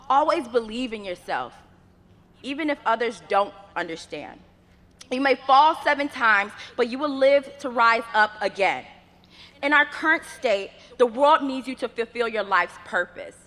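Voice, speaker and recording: A young woman whose first language is Yoruba, giving an earnest commencement address at a podium microphone with measured, rhythmic phrasing. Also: on the high side, expressive, fairly bright tone. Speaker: graduate student speaker. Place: graduation ceremony venue. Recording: long reverb of a large ceremony space.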